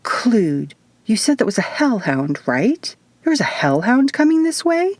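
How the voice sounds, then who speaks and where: Female narrator as young heroine, sceptical, wry, expressive, fantasy story, dry recording. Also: fairly high pitch, measured pace, no echo, voice pushed up. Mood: alarmed.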